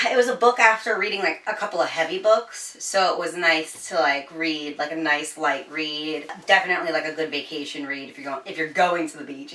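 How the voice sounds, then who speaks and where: A woman with a bright, lowish voice reviews a book in a casual, chatty way. She is slangy, swears freely and tells the story with animation. The room is echoey and she sits a little off the mic. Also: far from the mic. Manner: informal and slangy with frequent swearing; animated storyteller, voicing quoted dialogue.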